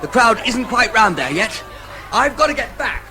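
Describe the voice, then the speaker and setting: An actor playing a brisk, protective man giving urgent clipped orders in a radio play. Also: very high voice, big pitch swings, music in the background. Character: brisk, commanding Englishman, protective brother. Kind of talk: radio drama acting. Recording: loud outdoor crowd din behind.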